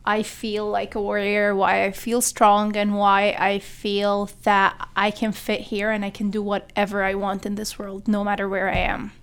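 Young woman from egypt, university student, smooth clear voice, flat even intonation, earnest. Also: medium pitch. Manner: earnest, quick bursts between hesitations. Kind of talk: guest self-introduction.

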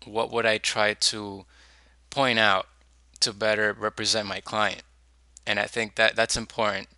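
A young man, a law student, introducing himself on a podcast in an earnest, measured and articulate way. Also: fairly low pitch, little pitch movement, sharp tone, dry recording.

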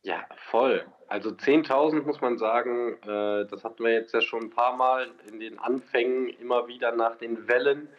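A man's voice: medium pitch, steady tone, normal speed, few pauses. A man co-hosting a podcast remotely by phone, joking and teasing in casual banter. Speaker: podcast co-host. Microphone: phone over a messaging app. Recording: dull lo-fi phone audio.

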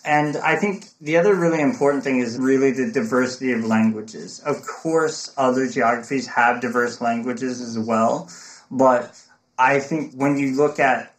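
A man with a mid-range voice speaks as a guest in an interview. He explains ideas in an animated, conversational way, peppering them with "look" and "right?". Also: medium pitch, normal speed, poor audio. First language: English. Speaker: guest, technology consultant.

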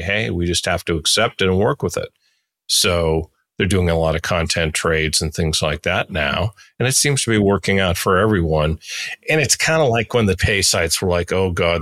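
Very low-voiced man in his sixties. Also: fairly bright tone, no echo, studio quality. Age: 60s. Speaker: podcast host. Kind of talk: casual interview chat.

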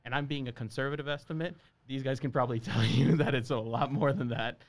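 A man's voice: mid-range voice, steady tone, fast talker, creaky. A young man from Brazil whose first language is Portuguese, a medical student talking earnestly and chattily as a guest. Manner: earnest, chatty with frequent laughs. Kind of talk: casual conversation.

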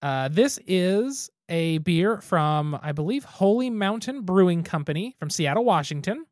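A man's voice: sits high, animated, measured pace, darkish tone, no echo, clean studio sound. A man co-hosting a podcast, chatting casually and thinking aloud as he reviews a beer. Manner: easygoing, thinks aloud with restarts. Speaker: podcast co-host.

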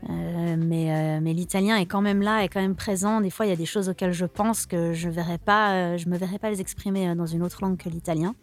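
A woman's voice: fairly low pitch, fairly even intonation, a little fast, few pauses, faint music behind. A young woman, a singer-songwriter, answering interview questions in a casual, hesitant way with many restarts. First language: French.

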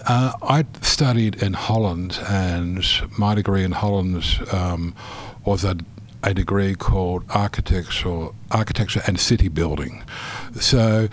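A man from New Zealand, an architect, answering interview questions in a measured way with many pauses and restarts. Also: low-pitched, a little hiss or hum. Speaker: architect guest.

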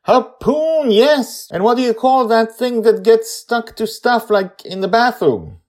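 A man voicing a bold, eager nine-year-old girl in a story, shouting out excited questions and plans. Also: very high-pitched, unhurried, pitched above the performer's own voice.

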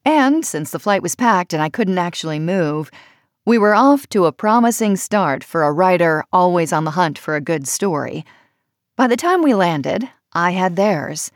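A woman reading a personal essay as audiobook narration, in measured, expressive phrasing. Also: lowish voice, no room sound, studio quality.

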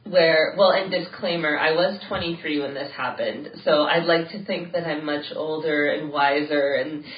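A woman's voice: low voice, moderate pace. A woman co-hosting a podcast, talking casually while stuffy from a cold and laughing often as she tells stories. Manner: laughs often, animated storytelling. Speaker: podcast co-host. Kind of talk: casual chat. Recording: roomy, distant, dull lo-fi sound.

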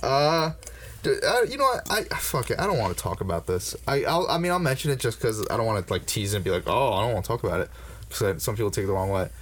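Low-voiced young man chatting casually. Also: very animated, fast, slight background noise. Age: early 20s. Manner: rambling, frequent fillers and swearing. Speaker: podcast host. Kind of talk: casual podcast chat.

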